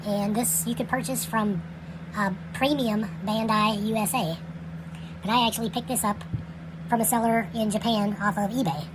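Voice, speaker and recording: Woman, hobby reviewer, casual and rambling unboxing talk; home recording with clear background noise. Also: a little high, moderate pace. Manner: relaxed, chatty, with frequent fillers and trailing phrases. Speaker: toy reviewer. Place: indoors at night.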